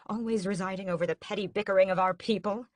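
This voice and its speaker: A woman's voice: medium pitch, fairly even intonation, quick pace, no echo, close to an everyday voice. Brief: a woman doing a centuries-old vampire queen, haughty and scheming, cool drawl, close dry read. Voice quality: cool, regal, mocking drawl. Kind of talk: audiobook character voice.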